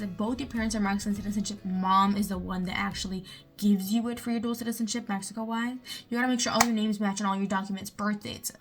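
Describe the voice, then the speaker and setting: A young woman from the United States, chatting casually in a how-to vlog, with lots of fillers like "you know" and "um". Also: mid-range voice. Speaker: vlogger.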